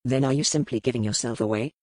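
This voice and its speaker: A man's voice: medium pitch, quick pace, no room sound, lightly acted. A male actor voices a menacing nobleman from a historical romance. He is pompous and mocking, addressing others in formal, insinuating phrases. The recording is close and dry. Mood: oily insinuation. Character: arrogant, menacing aristocratic English rake, smooth and mocking. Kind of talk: historical romance audiobook character dialogue.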